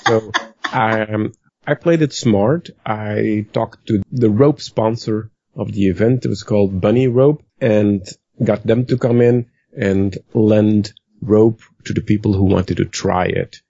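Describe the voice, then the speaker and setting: A man's voice: lowish voice, a little slow, vocal fry, warm tone, no echo, muffled recording. A man with a West Virginian accent, chatting casually on a podcast and explaining how he taught a workshop step by step. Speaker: rope bondage instructor.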